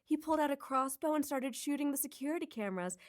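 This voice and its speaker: A woman's voice: sits high, animated, quick pace. A woman voicing a dreamy, chatty young bank teller. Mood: gushing. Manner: gushing, chatty.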